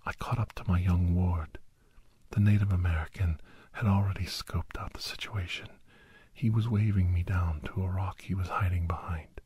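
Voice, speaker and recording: A man with a low, very breathy voice tells an adventure story in ASMR style. He moves slowly and often drops into a half-whisper, leaving suspenseful pauses between short phrases. The sound is dry and close. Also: steady tone, no echo. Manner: slow, hushed, suspenseful delivery with dramatic pauses. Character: doctor recounting a monster-hunting adventure, grave and awed. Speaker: narrator of an ASMR story podcast. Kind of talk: ASMR first-person dramatic storytelling.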